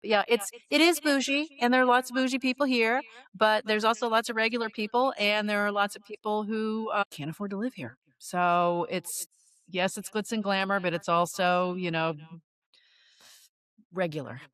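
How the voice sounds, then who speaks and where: A woman's voice: mid-range voice, steady tone, normal speed, a bit bright, smooth. A woman real estate agent speaking as a guest in a matter-of-fact, straightforward way. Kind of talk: casual panel chat.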